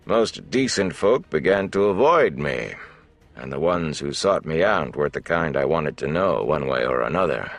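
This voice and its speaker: A gruff wilderness loner as a male audiobook reader acts him out, very low in pitch with a creaky, gravelly edge. He speaks in a plain, folksy, deliberate way, with wry weight and lively rises and falls. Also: no room sound. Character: gruff, wry older wilderness man who runs with wolves.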